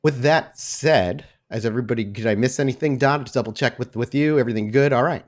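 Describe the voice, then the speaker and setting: A man's voice: mid-range voice, fast talker. A man speaking as a podcast host and game storyteller, chatty and informal. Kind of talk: podcast announcements and game narration.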